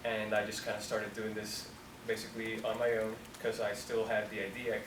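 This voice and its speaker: A man's voice: lowish voice, moderate pace. Young man rambling in an echoey room. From Colombia. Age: 20s. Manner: relaxed, rambling, heavy use of "like". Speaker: company founder speaking to students. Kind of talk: casual talk to an audience. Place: university room. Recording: echoey room, distant mic, noisy.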